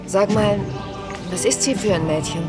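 A woman's voice: medium pitch, moderate pace, close to an everyday voice. An actress playing a wry, sceptical, observant woman in a radio drama, speaking in a dry, understated way. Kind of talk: radio drama, first-person narration and dialogue. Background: music.

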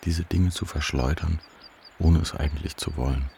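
A man playing the grave, regretful inner voice of a narrator in a literary radio play, sombre and deliberate. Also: rumbling low, fairly even intonation, creaky, warm tone, a little hiss or hum.

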